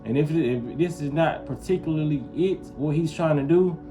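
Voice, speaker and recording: A man's voice: a little high, moderate pace, over music, poor audio. A man from Memphis talking casually to his viewers, rambling and often checking in with them. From Memphis, US South. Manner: rambling, keeps asking "you feel what I'm saying". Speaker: vlogger. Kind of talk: casual talk to camera.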